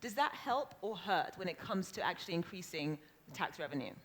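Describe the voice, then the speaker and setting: A woman's voice: lowish voice, big pitch swings, fairly quick. A woman moderating a panel discussion in a conversational manner. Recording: faint background noise.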